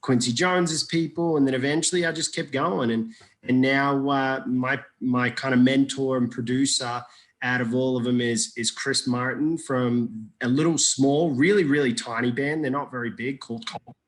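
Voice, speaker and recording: A man's voice: mid-range voice, steady tone, normal speed, rough recording. A man from Iraq, a guest telling his story in a casual interview, rambling and joking with many restarts.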